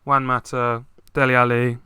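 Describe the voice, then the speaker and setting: A man's voice: medium pitch, little pitch movement, normal speed, pushed and bright, clear, resonant tone, no room sound, clean studio sound. A young man co-hosting a casual football chat, talking loosely with many fillers. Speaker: podcast co-host.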